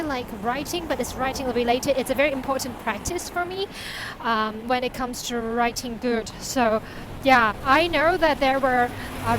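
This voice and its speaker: A woman whose first language is Cantonese, chatting casually to a vlog audience in a halting, self-correcting way. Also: high voice, background noise. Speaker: vlogger and novelist.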